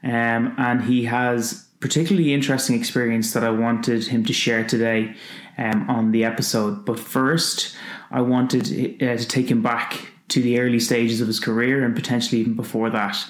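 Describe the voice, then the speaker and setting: A man's voice: medium pitch, level tone, normal speed. Man hosting a podcast in an echoey room. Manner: hesitant, many fillers. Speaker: podcast host. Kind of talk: casual interview talk. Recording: roomy echo.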